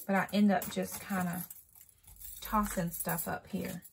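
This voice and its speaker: Chatty woman vlogger with a gravelly edge. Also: on the low side, little pitch movement, a little slow, a little hiss or hum. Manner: chatty, upbeat, says y'all. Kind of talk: casual chat to camera. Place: walk-in closet at home.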